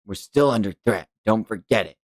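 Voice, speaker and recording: A man's voice: fairly low pitch, lively intonation, moderate pace, no echo, studio quality. A man voicing a cautious, grumbling ninja adviser who speaks seriously and scoldingly in a manga read-along. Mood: stern.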